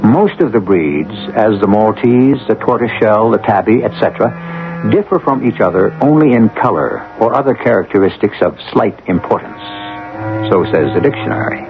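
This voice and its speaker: A man hosting a radio drama, reading a scripted introduction in a formal, measured, theatrical manner. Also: on the low side, expressive. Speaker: host and announcer. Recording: narrow-band, tinny vintage radio broadcast. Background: music.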